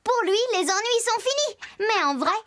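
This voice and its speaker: A woman's voice: extremely high pitch, lively intonation, moderate pace, noisy background. A woman voicing a chirpy, playful little storyteller who chats to the viewer in a children's cartoon. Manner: chatty, addresses the viewer. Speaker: narrator.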